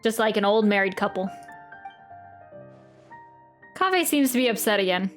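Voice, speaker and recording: A woman's voice: mid-range voice, expressive, normal speed. A woman giving casual reactions and commentary as she plays through a game on stream. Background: game music.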